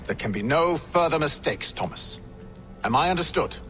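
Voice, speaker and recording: An actor playing a stern, commanding leader of conspirators in a video game, giving curt, imperious orders. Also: male voice, fairly high pitch, animated, normal speed, a bit bright, soft background music. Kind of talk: video game cutscene acting.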